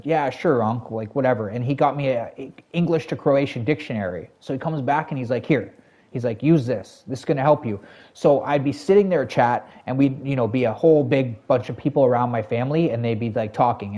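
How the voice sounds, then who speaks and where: Casual Canadian male streamer, warm dark tone, chatty storyteller with fillers. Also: medium pitch. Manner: rambling, lots of fillers and self-corrections, talks to chat. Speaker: game streamer. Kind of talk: casual storytelling to a live chat.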